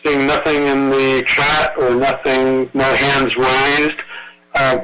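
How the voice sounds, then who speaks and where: A man from Brooklyn, New York, chairing a public meeting over a video call, speaking haltingly with many fillers. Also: mid-range voice, flat delivery, background noise. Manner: halting, many filler words, effusively thankful. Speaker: commission chair.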